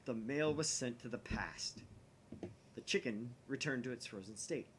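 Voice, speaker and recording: A man voicing a grandiose young mad scientist in a visual novel, declaiming theatrically with pompous, dramatic pauses. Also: mid-range voice, a little hiss or hum, lightly acted. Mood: smug, grandiose.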